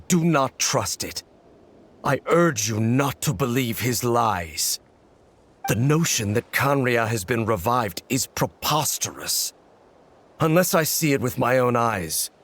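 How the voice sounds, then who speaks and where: An actor playing a stern, solemn warrior in a video game, speaking formally and severely with a gravelly voice. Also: male voice, mid-range voice, lively intonation, unhurried, a bit bright, a little hiss or hum. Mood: grave urgency. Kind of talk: dramatic video game voice acting. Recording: game music behind the voice.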